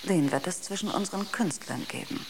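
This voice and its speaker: An actress playing a logical, quietly argumentative ship's computer in a radio drama, with precise, clinical delivery. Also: female voice, on the low side, brisk, gravelly, background noise. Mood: dryly argumentative.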